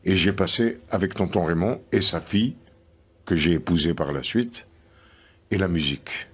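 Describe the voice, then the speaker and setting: A man's voice: sits low, moderate pace, husky. An older man from Algeria, a guest on a television panel, explaining at length with many hesitant fillers.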